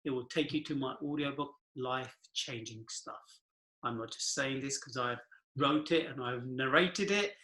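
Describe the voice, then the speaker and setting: A man's voice: medium pitch, a little slow, sharp tone, echoey room. A man in his forties giving an earnest, rambling talk about money and the economy, asking rhetorical questions and laughing nervously at times. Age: 40s. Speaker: finance commentator. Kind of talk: casual monologue to camera.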